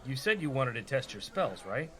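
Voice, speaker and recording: A man's voice: mid-range voice, very animated, normal speed, crowd noise, close to an everyday voice. A man playing a young rogue and reluctant party leader in a comedy radio play, casual and persuasive. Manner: casual, bargaining.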